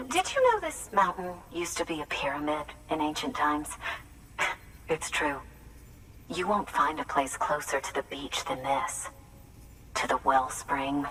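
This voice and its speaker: A woman's voice: low-pitched, a bit bright, far from the mic, slight background noise, rough recording. An actress voicing an eccentric woman researcher obsessed with cosmic mysteries, speaking in grand, theatrical proclamations. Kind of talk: video game cutscene dialogue.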